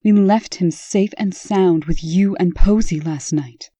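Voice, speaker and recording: Casting: actress as commanding storybook princess, comic dub. Very low, dark voice, stern delivery. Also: female voice, a little slow, no echo. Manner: stern, authoritative. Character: firm, no-nonsense fairy-tale princess in charge.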